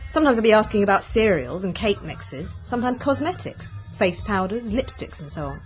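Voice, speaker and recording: An actress playing an earnest, guarded witness in a radio drama, speaking precisely. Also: female voice, mid-range voice, big pitch swings, brisk, soft background music, close to an everyday voice, rough recording. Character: earnest former survey worker, a guarded witness.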